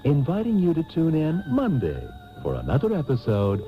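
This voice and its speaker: An actor playing a suave, pompous host narrating a parody radio soap with drawn-out theatrical delivery. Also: male voice, fairly high pitch, huge pitch swings, music in the background. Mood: grandly amused. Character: suave, mock-grand male soap opera host. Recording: tinny band-limited taped radio broadcast.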